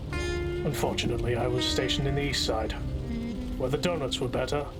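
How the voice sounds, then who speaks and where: An actor playing a hard-boiled, self-important lawman, narrating a noir audio drama in a pompous, brooding deadpan. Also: medium pitch, vocal fry, close to an everyday voice.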